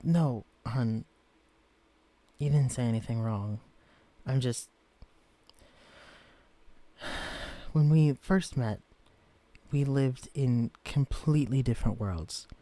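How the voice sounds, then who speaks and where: A man voicing a gentle, shy young man who has been shrunk to tiny size, speaking softly and stammering when flustered. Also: mid-range voice, slow pace, pauses often, dry recording, natural voice. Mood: tender. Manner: soft-spoken, hesitant, stammering when flustered. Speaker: character in a listener-directed romance audio. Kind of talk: audio roleplay drama.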